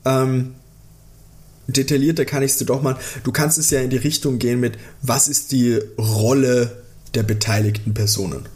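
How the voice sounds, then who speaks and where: A man's voice: mid-range voice, fairly even intonation, moderate pace, darkish tone. A man chatting casually as a podcast host, teasingly putting quiz questions to his co-host.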